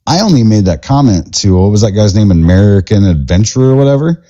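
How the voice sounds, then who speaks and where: A man's voice: fairly low pitch, expressive, fast, warm tone, dull audio. A man on a live-stream debate panel, talking crude and taunting with lots of profanity.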